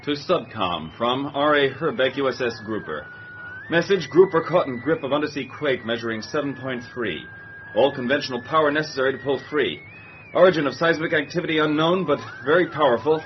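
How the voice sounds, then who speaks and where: Gruff sub captain, male actor, defiant and authoritative, lively intonation, old radio serial delivery, lo-fi sound. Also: medium pitch, a little fast, slight background noise, lightly acted. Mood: formal, brisk. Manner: authoritative, blunt. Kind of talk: old-time radio drama acting. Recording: dull lo-fi radio drama, music behind.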